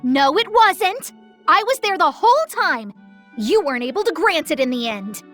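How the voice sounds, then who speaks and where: A woman's voice: extremely high pitch, sing-song, normal speed, a bit bright, soft background music, full character voice. A woman voicing a bossy, spirited small female deity who commands a squad in an anime game, with cheeky yet earnest authority. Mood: indignant, cheeky. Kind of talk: English anime game dub acting.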